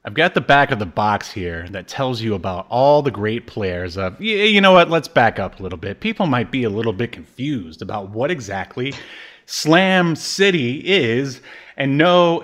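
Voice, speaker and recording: A man's voice: mid-range voice, very animated, normal speed, a bit bright. A man co-hosting a casual game review show, joking, poking fun at himself and wandering off on tangents. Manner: jokey, self-deprecating, digressive. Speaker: podcast co-host.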